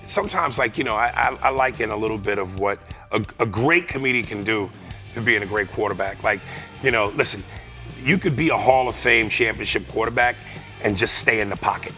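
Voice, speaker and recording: Man, raspy, bright edge, laid-back chatter, faint music behind. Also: fairly low pitch, fairly quick. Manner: laid-back, full of fillers and asides. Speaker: comedian guest. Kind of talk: casual TV interview chat. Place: TV studio.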